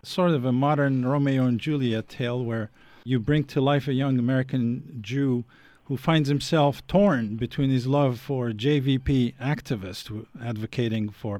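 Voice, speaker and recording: A man's voice: medium pitch, moderate pace, hardly any pauses, darkish tone, no room sound. A male interviewer speaks in an appreciative, complimentary way, leading into each question with praise. His voice sounds dry and close to the mic, with no room sound around it. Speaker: interview host.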